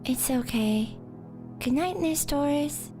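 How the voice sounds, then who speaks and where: A woman's voice: high-pitched, expressive, slow pace. A woman playing a bold, scheming, childlike young girl with a sassy manner in a horror audio drama. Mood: sleepy, innocent. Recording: loud music behind the voice.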